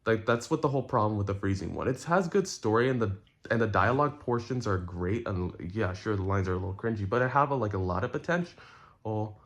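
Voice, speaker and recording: Low-voiced man explaining himself to viewers. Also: expressive. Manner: earnest, halting, repeats phrases for emphasis. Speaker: content creator and streamer. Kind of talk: casual explanatory rant to viewers.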